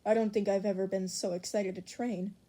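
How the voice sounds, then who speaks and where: A woman's voice: mid-range voice, fairly even intonation, fairly quick, mellow, natural voice. A woman voicing a solemn, formal teenage hero student in an audiobook. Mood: earnest.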